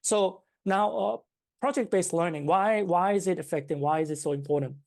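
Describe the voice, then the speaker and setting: A Japanese-accented woman in her thirties explains her ideas as an interview guest, pausing often on fillers and restarting her sentences. Her voice sits low and sounds soft and mellow. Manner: hesitant, many fillers and restarts. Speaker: startup founder, guest.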